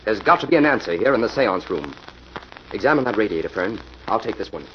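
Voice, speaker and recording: An actor playing a brisk, level-headed and commanding investigator in a 1940s radio mystery. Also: male voice, fairly low pitch, big pitch swings. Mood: businesslike. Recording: dull, noisy old radio recording.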